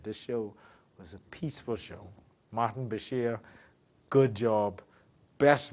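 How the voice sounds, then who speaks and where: A man, hosting a show and talking in an emphatic, chatty way that repeats phrases for stress. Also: medium pitch. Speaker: show host. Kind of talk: casual spoken commentary.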